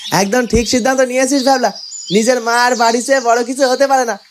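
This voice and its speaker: Friendly cartoon guardsman performed by a woman. Very high voice, loyal and familiar, faint ambient noise. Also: steady tone, fast, close to an everyday voice. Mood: approving, content. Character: cheerful, loyal young palace guardsman. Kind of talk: Bengali fairytale cartoon dubbing.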